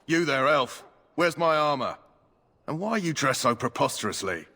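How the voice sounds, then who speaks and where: Flustered, raspy fantasy quartermaster. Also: male voice, fairly high pitch, lively intonation, normal speed, pushed and bright, big-room sound, heavily acted. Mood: haughty, irritated. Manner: stammering, blustering, obsequious. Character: flustered, haughty human army quartermaster, servile when cornered.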